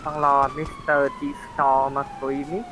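A man's voice: mid-range voice, completely flat delivery, moderate pace. A man chatting casually in a game's voice chat. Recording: clear siren and vehicle noise. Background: game sirens and traffic.

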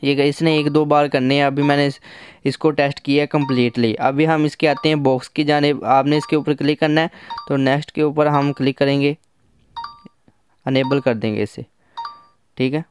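A man's voice: on the high side, fairly even intonation, normal speed, a little hiss or hum. A man giving a casual hands-on app tutorial, conversational and instructional, mixing in English terms. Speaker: tech app reviewer.

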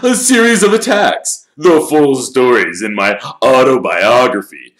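Pompous, high-pitched vain wizard. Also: male voice, expressive, unhurried, a bit bright, reverberant. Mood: boastful. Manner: pompous, showy. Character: vain, boastful, self-important male celebrity wizard.

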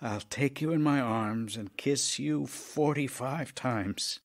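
A man's voice: medium pitch, slow pace. An actor playing an ailing middle-aged playwright husband in an audio drama, tender, intimate and wistful as he voices love letters.

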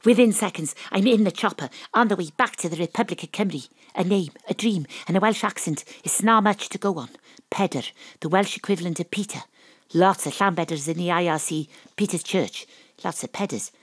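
Here is a female private detective, wry and self-mocking, telling her case in an audio drama. Her voice is husky and recorded very dry, and she relishes every word with theatrical flair. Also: fairly low pitch, fairly quick, no room sound. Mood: brisk, wry. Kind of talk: first-person detective audio drama narration and dialogue.

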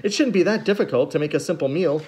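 A man's voice: fairly high pitch, expressive, fast, a little mellow, a little hiss or hum, pitched above the performer's own voice, close to an everyday voice. A man voicing an earnest, anxious bookish boy in a storybook reading. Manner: earnest, rising to upset outbursts.

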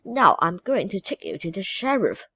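A woman reading aloud, voicing a bossy male stagecoach driver who shouts his warnings. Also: medium pitch, very animated, normal speed, slightly rough, no echo, dull audio, full character voice. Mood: brisk.

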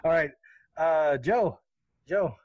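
A man's voice: fairly high pitch, animated, slow, dry recording, muffled recording. A man hosting a podcast in an upbeat, chatty, jokey, unscripted way. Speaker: podcast host.

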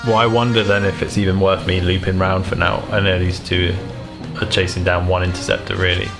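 A man with a gravelly voice chatting casually as a player in a tabletop game. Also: low voice, level tone, fairly quick. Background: music.

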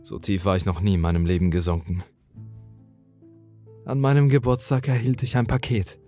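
A man's voice: sits low, steady tone, normal speed, dark tone, faint music behind. A man reading a horror story as dramatic scripted narration.

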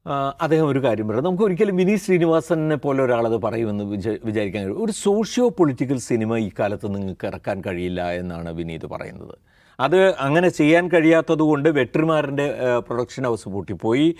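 A man's voice: medium pitch, big pitch swings, fast, darkish tone, no echo, clean studio sound. A man with a Kerala accent giving opinionated current-affairs commentary as a panelist. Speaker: panelist on a news discussion show.

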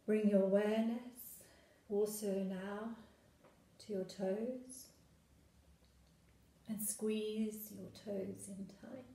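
A woman leading a guided meditation, speaking soothingly and gently in short phrases with long pauses. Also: medium pitch, fairly even intonation, roomy sound, poor audio.

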